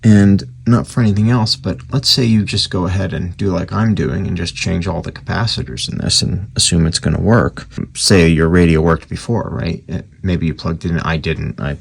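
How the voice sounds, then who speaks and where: Very deep, gravelly-voiced man explaining casually. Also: moderate pace, hardly any pauses. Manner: rambling, conversational, matter-of-fact. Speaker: radio repair hobbyist presenting a tutorial. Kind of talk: casual unscripted workbench explanation.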